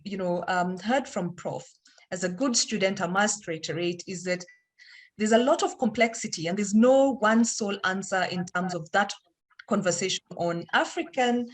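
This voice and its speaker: A woman from Kenya moderating a panel, recapping points in a conversational way with tag questions. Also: mid-range voice.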